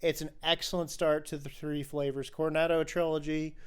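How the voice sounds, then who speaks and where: A man's voice: fairly high pitch, level tone, normal speed, clean tone. A man hosting a podcast, chatting casually and enthusiastically about films. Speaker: podcast host. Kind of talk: casual film discussion.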